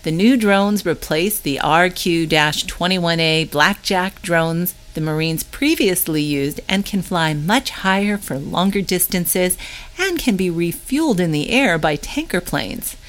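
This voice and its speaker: A woman's voice: on the low side, animated, a little slow. A woman reading a scripted news update aloud as the narrator of a newsletter. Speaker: newsletter reader.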